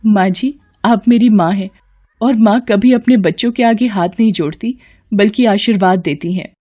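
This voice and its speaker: A woman's voice: medium pitch, normal speed, highs cut off, natural voice. A woman voicing a caring, anxious young daughter-in-law, speaking earnestly and respectfully. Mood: gentle.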